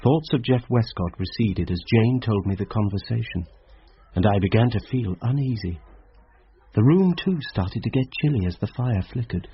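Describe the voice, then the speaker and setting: An actor playing a schoolmaster who tells a mystery story in a radio drama, curious and uneasy. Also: male voice, lowish voice, expressive, moderate pace, husky, heavily acted. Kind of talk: radio drama narration and dialogue. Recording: slightly dull radio recording.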